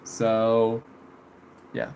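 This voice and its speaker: A man in his mid-twenties chatting casually on a live stream, halting and reflective, often trailing off. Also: on the low side, sing-song, vocal fry, darkish tone, a little hiss or hum. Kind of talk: casual live stream chat answering viewers. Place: room with window blinds, indoors.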